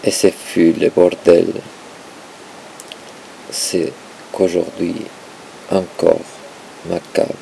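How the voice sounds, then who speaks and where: A man giving a performative poetry reading, with a halting, dramatic delivery in short broken phrases and a gravelly, creaky voice. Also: low voice, monotone, dark tone, slight background noise, poor audio.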